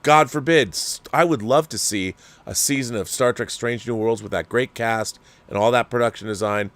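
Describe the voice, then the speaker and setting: An older man who hosts his own channel, giving a rambling, opinionated rant in a casual, animated way. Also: mid-range voice, normal speed, no echo. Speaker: channel host. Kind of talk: ranting commentary.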